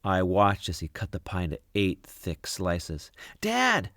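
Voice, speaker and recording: A man reads a storybook aloud for children. His voice sits fairly low and he narrates expressively. The sound is dry, with little room in it. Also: fairly even intonation, a little slow, dry recording. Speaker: storybook reader.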